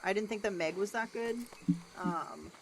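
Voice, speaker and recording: A young adult woman with a deeper voice, livestreaming a game and chatting casually with lots of "like" and "um". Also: moderate pace, slight background noise, poor audio. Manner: rambling, chatty, many "like" and "um" fillers. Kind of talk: casual chat while gaming.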